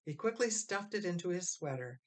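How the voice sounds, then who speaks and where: A woman narrates a children's story in a lowish voice. Her scripted reading is expressive and dramatic, lingering on important phrases for emphasis. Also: brisk. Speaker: storybook reader.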